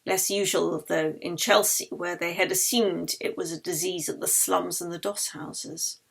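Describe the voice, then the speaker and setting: Creaky-voiced woman reading fiction. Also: lowish voice, a little slow. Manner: expressive, literary storytelling. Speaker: author reading her own novel. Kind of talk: dramatic audiobook narration.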